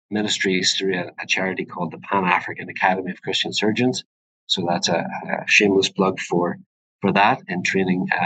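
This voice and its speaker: A man's voice: on the low side, sharp tone, dry recording, rough recording. A middle-aged man with a Northern Irish accent giving an informal webinar presentation as a medical specialist, in a conversational, slightly hesitant and self-deprecating manner. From Northern Ireland. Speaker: presenter, urogynecologist.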